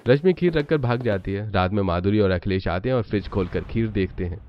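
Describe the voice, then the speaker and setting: Low-voiced male story narrator, muffled. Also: a little fast. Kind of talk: scripted cartoon story narration. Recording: muffled audio, clear background noise.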